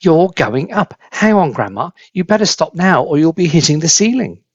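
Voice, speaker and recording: A man voicing a cheeky, excitable young grandson in a storybook, eager and full of exclamation. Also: a little high, moderate pace, dry recording, lo-fi sound, pitched above the performer's own voice. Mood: excited amazement.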